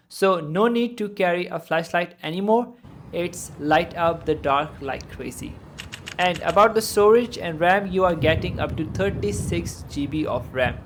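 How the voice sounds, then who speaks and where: A tech reviewer narrating a product review in an informal, enthusiastic way. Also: mid-range voice.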